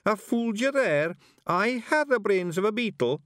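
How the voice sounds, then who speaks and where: A man voicing a small, brash, boastful male fairy creature with rough dialect speech. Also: high voice, animated, moderate pace, fairly bright tone, no room sound, studio quality, voice pushed up. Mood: proud.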